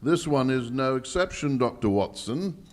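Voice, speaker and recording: An actor playing a pompous, formal English lord in a live old-time radio mystery, speaking with declamatory emphasis. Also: male voice, mid-range voice, expressive, normal speed, a little mellow, lightly acted. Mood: formal, welcoming. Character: pompous English lord guarding the nation's gold vault. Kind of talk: old-time radio drama acting on stage. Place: live stage performance at a conference.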